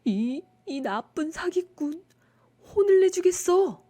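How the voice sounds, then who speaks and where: Woman putting on a loud, animated young bachelor. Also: very high voice, takes it slowly, voice pushed up, heavily acted. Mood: furious. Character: young male naive bachelor, indignant then crafty.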